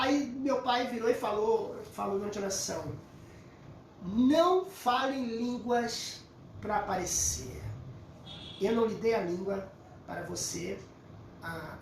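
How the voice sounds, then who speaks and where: A middle-aged Brazilian man with a Carioca accent teaching the Bible informally, emphatic and repetitive like a storyteller. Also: very high-pitched. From Rio de Janeiro, Brazil. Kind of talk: informal Bible lesson with personal anecdotes. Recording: roomy echo, distant mic, faint noise.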